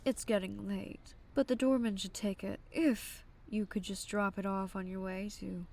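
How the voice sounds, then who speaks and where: A woman voices a poised lady who runs a speakeasy. Her mid-pitched voice is measured, genteel and wryly coaxing. There is faint noise behind this dramatic dub. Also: fairly even intonation, creaky, a little mellow, close to an everyday voice. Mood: businesslike, coaxing. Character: poised, genteel, wry female speakeasy proprietress. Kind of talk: fan dub dramatic voice acting.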